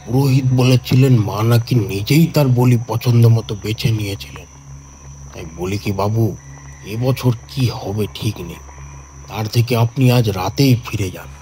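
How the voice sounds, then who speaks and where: A man's voice: lowish voice, a little slow, warm tone, lightly acted, poor audio. A man playing a deferential, anxious village tea-stall keeper in his fifties in an audio horror story, giving ominous warnings. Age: 50s. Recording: faint noise, night insects behind.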